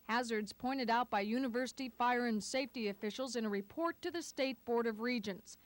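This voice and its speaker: A woman's voice: fairly high pitch, fairly quick, no room sound. A woman TV news reporter narrating a scripted report on an archival 1980s broadcast.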